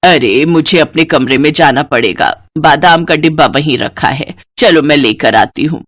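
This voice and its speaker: Woman voicing a low-pitched, bossy Indian mother-in-law. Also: moderate pace, fairly bright tone. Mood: coaxing, insistent. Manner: bossy, nagging, easily fooled. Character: scolding, gullible middle-aged mother-in-law. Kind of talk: acted dialogue in a Hindi moral story.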